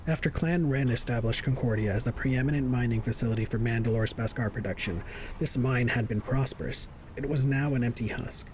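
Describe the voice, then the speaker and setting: A man's voice: mid-range voice, fairly quick, darkish tone, a little hiss or hum. A man reading scripted narration for an audio drama.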